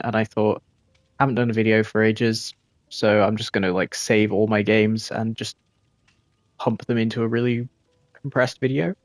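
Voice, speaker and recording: A man giving relaxed post-game commentary over his gameplay, laid-back and self-critical, with frequent ums and uhs. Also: mid-range voice, dry recording. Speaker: gaming video creator.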